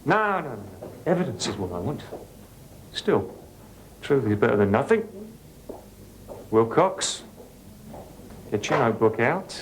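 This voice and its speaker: An actor playing a pompous, bumbling police sergeant who barks orders in a comedy. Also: male voice, medium pitch, sing-song, a little slow, long pauses, background noise. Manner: bossy, barking orders.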